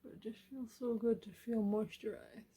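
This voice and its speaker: A soft-spoken woman doing an ASMR self-care roleplay, gently guiding the listener in a soothing manner. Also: fairly high pitch, fairly even intonation, normal speed, slightly rough. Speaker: ASMR creator, host.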